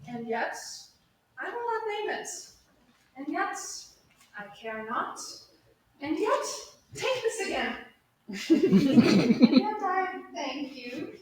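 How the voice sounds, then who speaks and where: A woman playing a coy, teasing young noblewoman in a Shakespearean comedy, with arch pauses and pointed emphasis. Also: high voice, very animated, darkish tone, exaggerated voice. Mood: coy, hesitant. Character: coy, teasing young noblewoman, easily exasperated with her suitor. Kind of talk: Shakespearean comedy staged reading. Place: large echoey indoor room, staged reading. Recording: very echoey hall, distant, faint noise.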